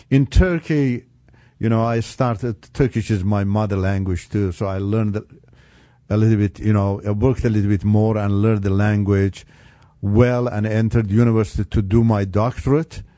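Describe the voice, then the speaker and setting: A middle-aged man from Iran, an English speaker, sharing his story as a radio guest in an earnest, halting way with many restarts. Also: on the low side, mellow, dry recording, clean studio sound. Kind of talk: personal testimony on radio. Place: radio studio.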